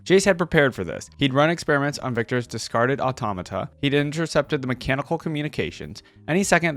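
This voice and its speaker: A man's voice: medium pitch, no echo. A man reading a story aloud for a reaction video, breaking off into informal, wry asides. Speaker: gaming reaction content creator.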